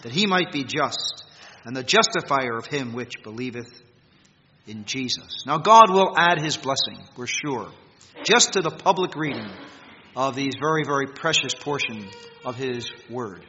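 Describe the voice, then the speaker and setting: An American man preaching a sermon in a church meeting hall, reading scripture and stressing words emphatically, swinging between loud peaks and quiet drops. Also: mid-range voice, animated, measured pace, a little hiss or hum. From United States. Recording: echoey hall, dull lo-fi sound.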